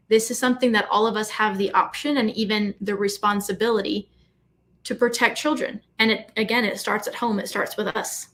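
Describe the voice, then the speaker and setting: A woman hosting a live online talk, speaking fluently in an earnest and emphatic way. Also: fairly high pitch.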